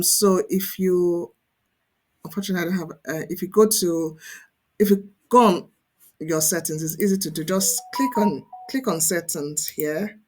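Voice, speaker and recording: A woman's voice: fairly low pitch, a little mellow. A woman presenting an online tutorial as a coach, explaining conversationally and emphatically, often checking in with the listener. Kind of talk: instructional screen-share tutorial, conversational.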